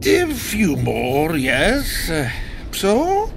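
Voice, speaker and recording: A shifty curio trader in a fantasy video game. His voice is high and swoops wildly, excitable and evasive, with slow, theatrical phrasing. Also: creaky, background noise, exaggerated voice. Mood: sly, businesslike.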